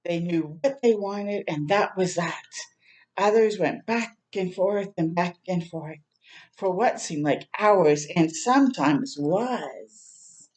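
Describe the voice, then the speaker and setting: A woman reading a picture book aloud to young children in a gentle, expressive storytime manner. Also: lowish voice, takes it slowly. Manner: gentle, expressive, prompting listeners with questions.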